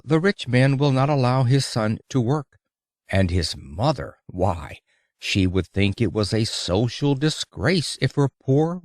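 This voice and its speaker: A man's voice: fairly low pitch, dry recording, clean studio sound. A man narrating an audiobook of an old-fashioned lecture in an emphatic, theatrical storytelling manner.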